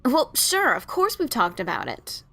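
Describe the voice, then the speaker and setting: Casting: female actor as a self-doubting pegasus adventurer-writer, creaky, casual and halting, fan audio drama over music. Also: on the high side, huge pitch swings, sharp tone, full character voice. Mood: defensive. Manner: hesitant, stammering, casual.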